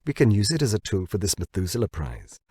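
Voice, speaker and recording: A man voicing a shrewd biotech researcher in an audiobook, pitching insistently to his boss. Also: wildly swooping pitch, mellow, husky, no room sound, studio quality, full character voice. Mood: persuasive. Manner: deliberate, insistent salesmanship. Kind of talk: audiobook character dialogue.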